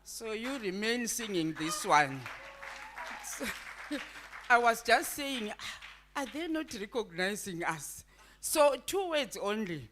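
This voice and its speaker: A woman visiting a church, speaking and leading call-and-response singing in slow, drawn-out phrases. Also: fairly high pitch, big pitch swings, fairly bright tone, some chatter behind, a little hiss or hum.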